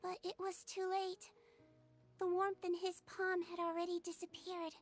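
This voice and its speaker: A woman voicing a small, gentle, youthful nonhuman girl doctor in a video game, with an earnest, measured manner. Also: very high-pitched, monotone, soft background music. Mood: earnest, grateful.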